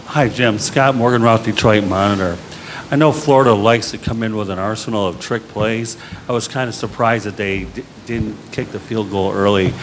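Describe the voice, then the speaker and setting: A male reporter with a fairly low voice asks a long, conversational question in a press room. A faint hiss runs underneath. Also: rough recording.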